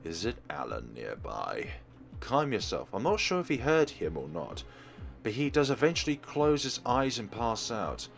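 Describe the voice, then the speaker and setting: A man's voice: mid-range voice, wildly swooping pitch, moderate pace, vocal fry. A man hosting a let's play, reading a visual novel's text aloud and dropping casual asides between the lines. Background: faint game music.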